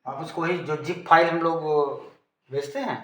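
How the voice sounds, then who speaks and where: A man's voice: a little high, steady tone, normal speed. A man with an Indian accent giving an informal technical lesson, explaining and repeating key terms. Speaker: teacher. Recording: echoey room, background noise.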